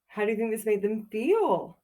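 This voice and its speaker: A woman's voice: medium pitch, moderate pace. Woman reading aloud to young children. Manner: expressive, sing-song story reading, simple encouraging questions for young children. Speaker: teacher reading a picture book aloud to children. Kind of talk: children's read-aloud with questions to listeners.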